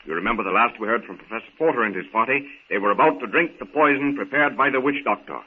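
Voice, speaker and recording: A man announcing and narrating a 1930s radio serial from a script, with theatrical, deliberate, dramatic storytelling. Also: medium pitch. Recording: tinny, noisy 1930s radio recording.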